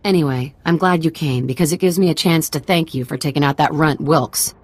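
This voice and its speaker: A woman's voice: sits low, fairly quick, no room sound. A woman playing a tough, frank frontier underworld figure in a video game, speaking in a casual, plain-spoken way. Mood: frank, grateful.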